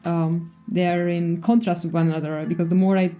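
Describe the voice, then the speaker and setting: A woman's voice: fairly low pitch, little pitch movement, fairly quick, mellow. A young woman with a Romanian accent, a native Romanian speaker, explaining her research as an interview guest.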